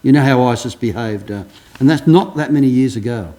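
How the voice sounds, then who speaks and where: A man's voice: mid-range voice, a little fast, dark tone, low-quality recording. An older man preaching a sermon as pastor, telling stories earnestly with frequent restarts. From Australia. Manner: earnest storytelling, many restarts.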